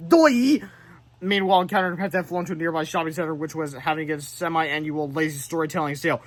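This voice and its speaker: A man narrating a children's book aloud in an animated way, stumbling and correcting himself now and then. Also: on the high side, fast talker. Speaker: storybook reader.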